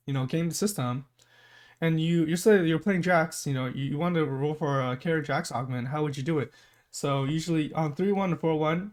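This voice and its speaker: A young man with a sick, hoarse voice explains game strategy in a casual tutorial, halting with many ums and clearing his throat. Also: on the high side, darkish tone. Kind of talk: casual screen-share tutorial.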